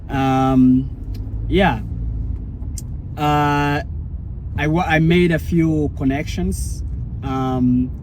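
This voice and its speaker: A man's voice: mid-range voice, warm tone, slight background noise, rough recording. A man whose first language is Portuguese, a startup founder telling his story in a rambling, casual vlog. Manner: rambling, searching for words.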